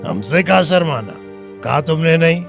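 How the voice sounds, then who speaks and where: A menacing, lecherous middle-aged drunk in an audio drama. His speech is slow, slurred and gravelly, with an eastern Hindi heartland accent. Music plays behind him. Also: a little high, expressive.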